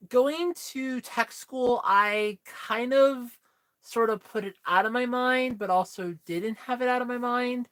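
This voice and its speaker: A woman's voice: on the high side, a little slow, fairly bright tone. A woman in her thirties chatting candidly with viewers on a livestream, telling a personal story in a rambling way full of fillers. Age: 30s. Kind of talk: casual chat with viewers.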